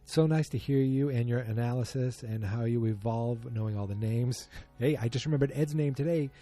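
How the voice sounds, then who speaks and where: A man's voice: mid-range voice, normal speed, dark tone, faint music behind. A man hosting a solo podcast, chatting casually in a rambling, self-deprecating, joking way. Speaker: podcast host.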